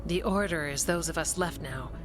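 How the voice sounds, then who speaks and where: An actress playing a steadfast, practical warden in a fantasy video game, matter-of-fact and resolute. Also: female voice, low-pitched, moderate pace, lightly acted. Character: steadfast, practical female warden, dutiful and reassuring. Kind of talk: video game dialogue acting. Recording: game music underneath.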